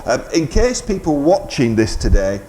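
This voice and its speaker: A man's voice: mid-range voice, sing-song, normal speed, warm tone, slight background noise. A man presenting live community radio, making a fundraising announcement in an informal, hesitant, bantering way.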